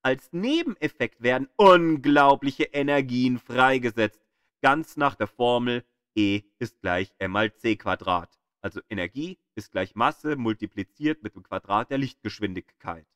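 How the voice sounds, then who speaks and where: A man reading scripted documentary narration as an audio guide, in a deliberate storytelling way with dramatic emphasis. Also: mid-range voice, animated, fairly bright tone, clear, resonant tone, dry recording, studio quality.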